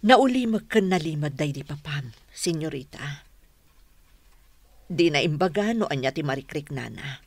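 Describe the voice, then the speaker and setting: An actress playing a loyal older household maid who confides gravely and earnestly in a radio drama. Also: female voice, sits low, lively intonation, moderate pace, pauses often, darkish tone, no echo. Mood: sorrowful recounting. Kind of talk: Ilocano radio drama acting.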